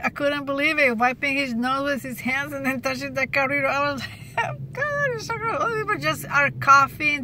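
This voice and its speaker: Woman in her 50s vlogging from her car, kinda high bright voice, lots of restarts, little laughs. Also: a little hiss or hum, poor audio. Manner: chatty, restarts often, laughs at gross moments. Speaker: cashier sharing her workday. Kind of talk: casual car vlog chat. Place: inside a parked car. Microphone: phone camera at arm's length.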